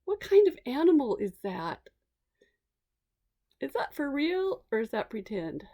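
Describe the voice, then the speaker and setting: A woman's voice: high voice, big pitch swings, a little slow, warm tone. A woman teacher casually explaining things to young children, chatty and asking simple questions. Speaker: read-aloud teacher.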